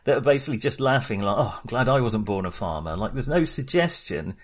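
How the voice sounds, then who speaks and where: A man's voice: mid-range voice, expressive, fast. A man talking casually and informally about films as a podcast co-host, with a chatty, mildly sweary manner. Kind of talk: casual film discussion.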